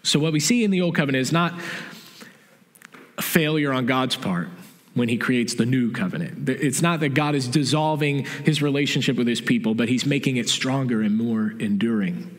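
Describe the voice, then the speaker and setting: A middle-aged man preaching a sermon in a church sanctuary, explaining calmly and restating his key points. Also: mid-range voice, normal speed. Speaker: pastor. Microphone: stage mic from a far camera. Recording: long church reverb.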